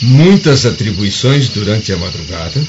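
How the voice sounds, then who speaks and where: Stern senator, sharply swinging pitch. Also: male voice, big pitch swings, moderate pace, very noisy. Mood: sardonic. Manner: sarcastic, interrogating. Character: stern, suspicious Roman senator, a father-in-law. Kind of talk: radio drama acting. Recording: muffled radio drama with night crickets.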